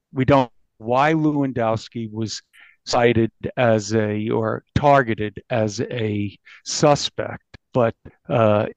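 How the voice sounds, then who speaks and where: A man speaking as an investigative journalist in an interview, recounting a crime case in a halting but emphatic conversational way. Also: mid-range voice, a little mellow, no echo. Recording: dull, band-limited audio.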